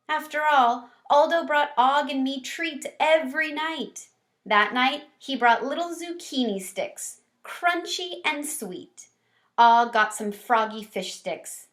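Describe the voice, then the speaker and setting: A small, sentimental classroom hamster tells his own storybook tale , read by a woman. The voice is fairly high and takes its time. It is full of earnest wonder and expressive feeling. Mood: wistful.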